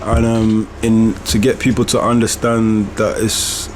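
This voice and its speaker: A man hosting a reflective podcast conversation, speaking in an earnest, deliberate way. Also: fairly low pitch, completely flat delivery, darkish tone, slight background noise. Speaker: podcast host and author.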